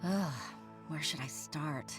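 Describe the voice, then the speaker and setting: An earnest young junior clerk in a video game. Her voice is on the lower side, respectful and slightly hesitant, over faint music. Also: slow pace. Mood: troubled.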